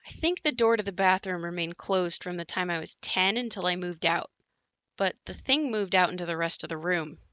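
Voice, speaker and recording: Bright-voiced actress as an uneasy haunted daughter. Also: female voice, fairly low pitch, normal speed, dry recording, lightly acted. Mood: uneasy, reflective. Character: uneasy daughter recalling her haunted childhood home. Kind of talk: audio play monologue and dialogue.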